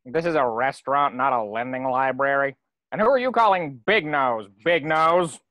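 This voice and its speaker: A man's voice: medium pitch, normal speed, pushed and bright, close to an everyday voice. A man playing a snide, haughty squid cashier in a cartoon table reading, sarcastic and needling. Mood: bored, snide.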